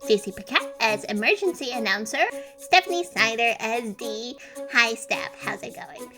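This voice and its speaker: A woman's voice: fairly high pitch, animated, normal speed. A woman reading podcast credits in a playful, bubbly way with chatty asides. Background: music.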